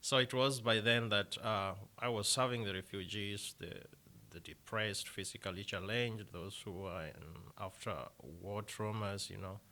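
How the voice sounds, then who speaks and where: A man with a Kenyan accent answers interview questions, his voice a bit low but bright and forceful. He talks haltingly, with many fillers and restarts. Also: fairly even intonation. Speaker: deacon, interview guest.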